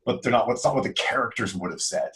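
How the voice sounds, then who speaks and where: A man's voice: medium pitch, lively intonation, fast talker, fairly bright tone. A man hosting a casual group podcast, chatting in a rambling, jokey way. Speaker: podcast host.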